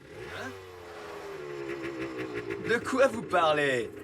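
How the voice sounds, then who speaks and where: A man's voice: a little high, sing-song, very slow, music in the background, full character voice. A man voicing an awkward, evasive but kindly father motorbike in a children's cartoon dub. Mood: baffled.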